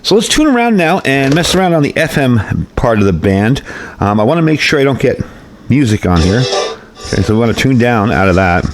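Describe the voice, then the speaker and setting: A man's voice: mid-range voice, sing-song, a little fast, noisy background. A man giving a casual hands-on product demonstration in a folksy, conversational way, often repeating himself. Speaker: radio product reviewer.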